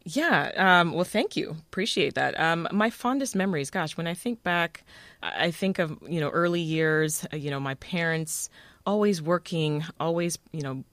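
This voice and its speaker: A woman from Jamaica, a new radio host, telling stories from her childhood in a relaxed, upbeat interview. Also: lowish voice, normal speed, dry recording. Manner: upbeat, laughs often, warm storytelling. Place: radio studio.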